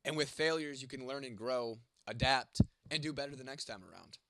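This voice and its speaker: A man's voice: mid-range voice, a little fast, fairly bright tone, a little hiss or hum. A young man hosting a casual livestream and reading out viewer comments. He is congested and losing his voice, with a cough, and talks in a laid-back way with casual swearing. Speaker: livestream host.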